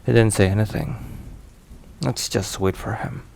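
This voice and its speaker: A man playing a weary, caring mafia boss boyfriend in an ASMR roleplay, hushed and sighing between phrases. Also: fairly low pitch, fairly even intonation, moderate pace, creaky, slight background noise. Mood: relaxed, caring.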